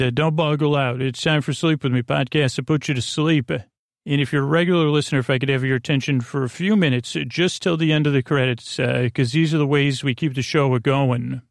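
An American man hosting a sleep podcast, with a creaky voice and a soothing, meandering, hesitant delivery full of repeats and tangents. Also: medium pitch, dry recording, clean studio sound. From United States. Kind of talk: rambling, soothing bedtime podcast monologue.

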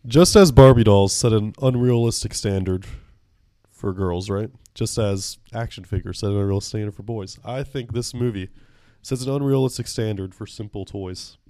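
A man's voice: on the low side, moderate pace, warm tone, dry recording. A man in his twenties co-hosting a podcast, joking in a mock-serious way with many fillers. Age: 20s. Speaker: podcast co-host. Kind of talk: casual film review chat.

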